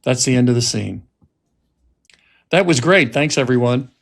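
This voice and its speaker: Slow-paced man, deliberate delivery. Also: medium pitch, lively intonation, creaky. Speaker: writer-director and podcast host. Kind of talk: scripted narration and reflective talk.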